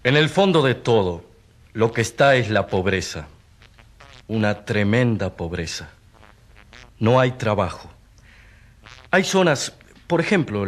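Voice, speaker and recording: An actor playing an earnest country doctor testifying in a courtroom radio drama, explaining with grave, emphatic concern. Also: male voice, mid-range voice. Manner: emphatic, expository. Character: earnest doctor witness, long among peasants, gravely concerned.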